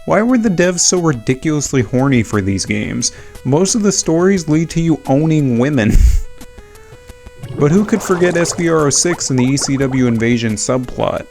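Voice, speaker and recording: Male video essay narrator, casual sweary opinionated fan talk, medium pitch, music bed behind. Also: big pitch swings, moderate pace, gravelly, a little mellow. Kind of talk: casual retrospective video commentary.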